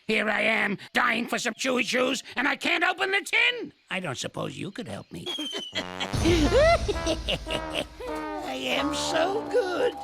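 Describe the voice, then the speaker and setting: A man's voice: very high-pitched, huge pitch swings, a little slow, gravelly, music in the background, exaggerated voice. An actor playing a grumpy old male ape in a cartoon, a teasing prankster who scolds and calls names. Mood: gleeful. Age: older. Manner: scolding, teasing, name-calling. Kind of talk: cartoon voice acting.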